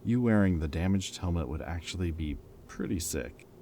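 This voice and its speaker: Ex-villain for roleplay audio drama, male actor: extremely low pitch, casual wry banter, protective friend with a teasing streak. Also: animated, normal speed, warm tone, slight background noise. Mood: playful, eager. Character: teasing, caring male ex-villain planning with old hero friend.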